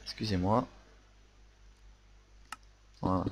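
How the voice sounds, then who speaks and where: A man's voice: low voice, fairly even intonation, slow. A young man with a Marseille accent narrating a building tutorial casually, hesitant with many fillers and restarts. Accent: Marseille French. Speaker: Minecraft building tutorial host. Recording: faint noise and clicks.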